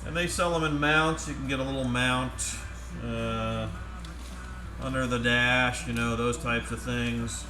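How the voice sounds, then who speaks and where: A man giving a casual, step-by-step product demonstration in a halting, plain-spoken way. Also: medium pitch, fairly even intonation. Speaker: presenter demonstrating converted car radios. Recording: roomy echo, music playing from radio. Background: music from the demonstrated radio.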